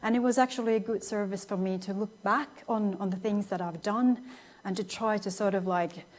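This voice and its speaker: A woman's voice: mid-range voice, fairly even intonation, moderate pace, a little mellow. A woman in her thirties from Finland, a non-native speaker giving a thoughtful academic lecture with many restarts and fillers. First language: Finnish. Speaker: guest lecturer.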